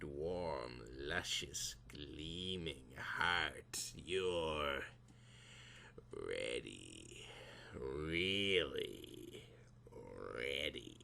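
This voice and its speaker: A man voicing a confident, seductive male creature in a melodramatic story, with a slow suggestive drawl. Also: extremely low pitch, animated, vocal fry, pushed and bright, slight background noise, pitched below the performer's own voice, exaggerated voice.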